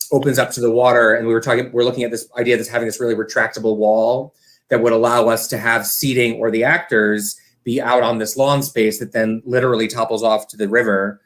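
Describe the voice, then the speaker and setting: A man's voice: medium pitch, a bit bright. A man speaking as an interview guest, a community theater director, chatting casually and explaining things with plenty of filler words.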